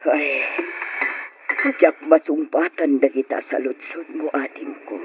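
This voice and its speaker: Scolding older sister, noisy radio. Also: female voice, sits low, steady tone, unhurried. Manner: admonishing, earnest. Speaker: radio drama actor. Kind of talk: old Ilocano radio drama acting. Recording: noisy, muffled old radio recording.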